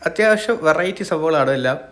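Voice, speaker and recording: A man's voice: on the high side, lively intonation, quick pace, fairly bright tone. A man with a Malayali accent giving casual voice-over commentary as host of a gaming video.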